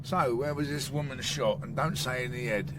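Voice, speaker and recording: An actor playing a grumpy, bullying, gluttonous chief inspector in a radio drama, blunt, sarcastic and pompous. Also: male voice, medium pitch, moderate pace, noisy background, lightly acted. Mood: scornful. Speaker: senior police detective. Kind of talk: BBC radio drama acting.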